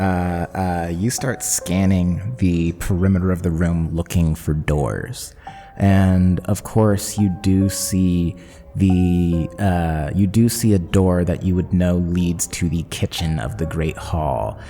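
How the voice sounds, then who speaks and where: A male game master narrates a fantasy scene. His voice is very low and mellow, with long reverb and music underneath. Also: steady tone, slow pace.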